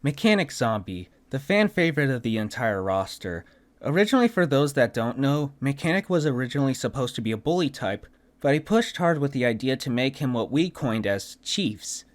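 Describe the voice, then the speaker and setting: A man giving a casual commentary on voice work for a video game, speaking as the developer and voice actor. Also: mid-range voice.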